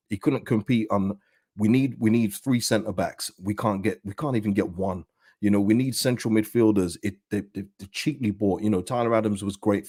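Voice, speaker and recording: Chatty husky man, low voice. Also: a little mellow, no echo, clean studio sound. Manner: chatty, rambling, with frequent restarts and laughs. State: slightly husky voice. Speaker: football channel host. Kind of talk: casual fan commentary to camera.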